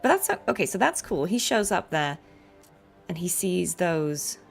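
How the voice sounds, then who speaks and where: A woman's voice: on the low side, sing-song, moderate pace, vocal fry, husky. A woman chatting casually and musing aloud as she comments on a game during a livestream. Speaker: livestreamer and voice actress. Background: game music.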